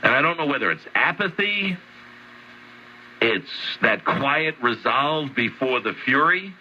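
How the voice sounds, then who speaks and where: A man's voice: medium pitch, sing-song, a little slow, bright, forceful tone. A man delivering an earnest monologue, heard through the speaker of a shortwave radio. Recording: dull noisy shortwave radio playback.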